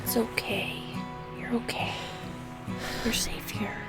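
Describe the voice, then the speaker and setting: A woman playing a gentle, nurturing friend in an audio roleplay, speaking tenderly and reassuringly. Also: takes it slowly, over loud music, natural voice. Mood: hushed, soothing. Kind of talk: comforting audio roleplay acting.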